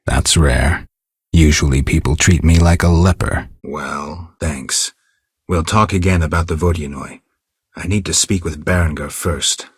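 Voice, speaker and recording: Game casting: world-weary witcher, rock-bottom bass register, gravelly, terse and deadpan, recorded very dry. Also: unhurried, no echo, heavily acted. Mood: stoic, reserved. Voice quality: gravelly, husky. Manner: terse, restrained, deadpan delivery. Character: stoic, world-weary male monster-hunting witcher. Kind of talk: video game character dialogue.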